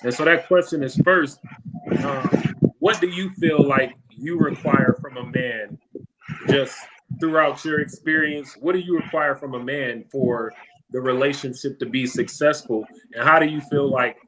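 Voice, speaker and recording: A male host at mid pitch, calmly setting up a two-part question for a panel guest. A clear hiss of noise runs under his voice on the stream. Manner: measured, asks long questions. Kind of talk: moderating a live panel.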